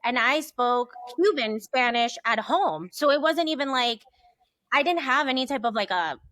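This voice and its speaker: Woman co-host, higher voice, dry close mic, chatty and relaxed. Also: normal speed, no echo. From United States. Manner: chatty, lots of "like". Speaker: podcast co-host. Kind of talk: casual interview chat.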